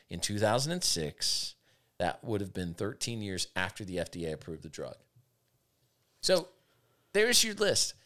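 A man with a raspy voice, hosting a podcast with opinionated, emphatic casual talk. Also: lowish voice, a bit bright. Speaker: podcast host.

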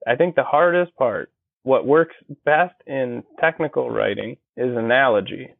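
A man with a gravelly, fry-edged voice, heard over a thin, phone-like line with no room sound. He explains technical ideas patiently and reaches for everyday comparisons. His delivery is casual and conversational. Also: medium pitch, measured pace, no room sound. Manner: explanatory, builds plain analogies. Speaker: guest, engineer and copywriter. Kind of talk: conversational interview. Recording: dry tinny phone-like line.

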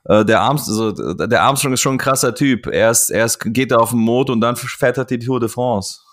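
A man's voice: medium pitch, moderate pace. Chatty, joking male podcast host. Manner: chatty, joking, lively, frequent fillers. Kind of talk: casual interview hosting.

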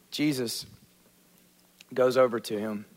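A male pastor preaching a sermon, asking the congregation questions and acting out quotes in lively voices. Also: medium pitch. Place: church.

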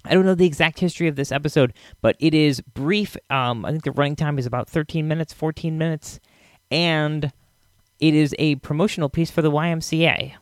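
A man hosting a podcast with a casual, halting, rambling introduction. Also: on the high side, steady tone, dry recording, studio quality. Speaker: podcast host.

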